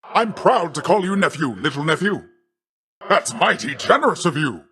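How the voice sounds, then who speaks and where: An actor playing a boastful, affectionate older uncle who is a sea god, pompous and theatrical, with grand old-fashioned wording, for a video game. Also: male voice, fairly high pitch, big pitch swings, normal speed, bright, forceful tone, full character voice. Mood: hearty, jovial. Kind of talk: video game character voice acting.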